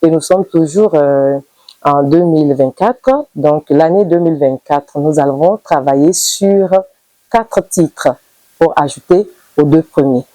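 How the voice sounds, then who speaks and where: A young adult woman singer from Benin, answering interview questions in a measured way, pausing with fillers; her first language is Idaasha. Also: low voice, mellow, dry recording, rough recording.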